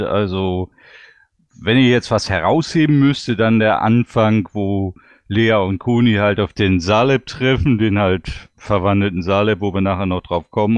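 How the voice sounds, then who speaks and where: Low-voiced man in casual panel talk. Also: no room sound. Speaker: podcast panelist reviewing audio dramas.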